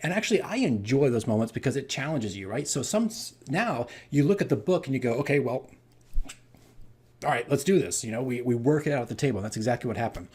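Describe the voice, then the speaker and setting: A man telling a casual, animated story as a game master on a vlog, rambling and repeating his phrases. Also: medium pitch, quick pace, breathy edge. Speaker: tabletop game master recounting his campaign.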